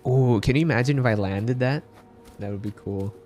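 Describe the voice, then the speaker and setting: Man, mid-pitched voice, casual livestream chat, gaming, faint music in the background. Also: normal speed, darkish tone. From Philippines. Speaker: game livestreamer. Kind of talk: casual chat with live viewers while gaming. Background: game music.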